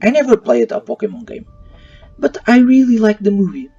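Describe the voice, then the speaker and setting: A man's voice: extremely high pitch, sing-song, a little fast, gravelly, mellow. A man giving an opinion review in voice-over, with non-native English phrasing. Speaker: YouTube movie reviewer. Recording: muffled, slightly distant mic. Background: faint music.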